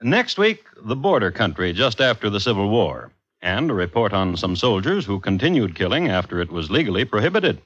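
Low-voiced dry crime historian. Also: male voice, big pitch swings, a little fast, a bit bright, breathy edge, no room sound, full character voice. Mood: dry, wry. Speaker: storyteller host of a radio crime series. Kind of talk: 1950s radio scripted narration. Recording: dull old 1950s radio recording.

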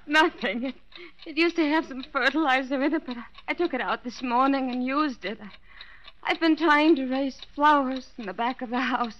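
An actress playing a shaken, grieving housewife in 1940s radio drama style, faltering and fragile. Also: female voice, high voice, normal speed, natural voice. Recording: dull old radio recording.

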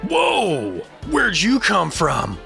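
A man's voice: fairly high pitch, wildly swooping pitch, very slow, vocal fry, bright, forceful tone, soft background music, heavily acted. A man playing a goofy, boastful, drunken adventurer in a fantasy audio drama, slurring and muddling his words. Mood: giddy, drunk.

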